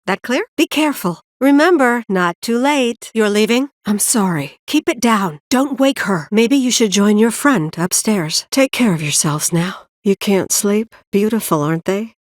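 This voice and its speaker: A woman playing a weary, protective middle-aged mother in a video game, speaking in an earnest, measured, maternal way. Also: mid-range voice, animated, no room sound, clean studio sound, close to an everyday voice. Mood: gentle, maternal. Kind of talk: naturalistic video game voice acting.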